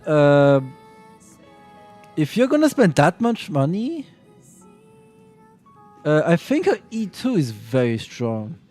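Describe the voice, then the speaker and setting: A man's voice: fairly high pitch, big pitch swings, slow, pauses often, darkish tone, soft background music. A man streaming and chatting casually about a game, rambling with frequent restarts and self-corrections.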